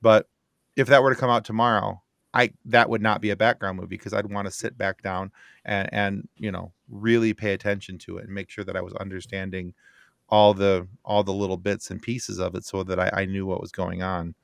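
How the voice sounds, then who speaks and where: A man's voice: low-pitched, level tone, brisk, creaky, a bit bright, no echo, studio quality. A man in a casual podcast discussion, rambling along conversationally. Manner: rambling, frequent "you know". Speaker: podcast panelist.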